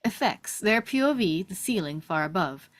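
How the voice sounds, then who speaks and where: A woman's voice: mid-range voice, lively intonation, moderate pace. A woman giving scripted narration, reading out stage directions at a table read.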